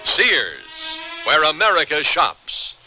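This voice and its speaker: A man's voice: fairly high pitch, huge pitch swings, slow pace, sharp tone, faint music behind, low-quality recording. A man announcing a radio theater program in a formal, scripted style.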